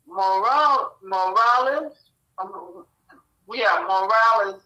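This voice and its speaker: A woman co-host reading a news report aloud, halting and stumbling over names, on a muffled line. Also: mid-range voice, sharp tone.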